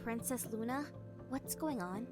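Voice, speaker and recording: A woman's voice: on the high side, lively intonation, unhurried. A woman playing an earnest, anxious young pony scholar and leader in a cartoon comic dub, with a questioning manner. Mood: earnest, concerned. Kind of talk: fan comic dub acting. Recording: faint music behind.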